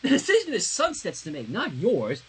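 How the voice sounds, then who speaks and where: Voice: medium pitch, huge pitch swings, moderate pace, a bit bright, slight background noise. A fan fiction reader voicing a poised pony princess, a competitive rival in love, firm and dramatic. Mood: smugly competitive.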